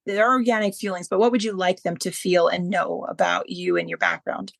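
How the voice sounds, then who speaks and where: A woman's voice: lowish voice, fairly quick, gravelly, no room sound, clean studio sound. A woman hosting an interview podcast, asking winding, loosely phrased questions in a conversational way. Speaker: podcast host. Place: remote online call.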